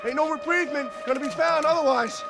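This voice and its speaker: A man's voice: very high voice, quick pace, vocal fry. A man playing a menacing, taunting gangster henchman with slangy talk in a cinematic video game. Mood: taunting menace. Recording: loud music behind the voice.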